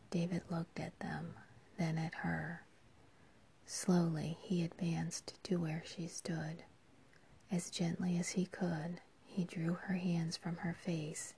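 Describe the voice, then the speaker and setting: Slow whispering woman storyteller. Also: lowish voice, a little hiss or hum. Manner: soft, hushed whisper throughout. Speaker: narrator. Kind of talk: whispered audiobook reading.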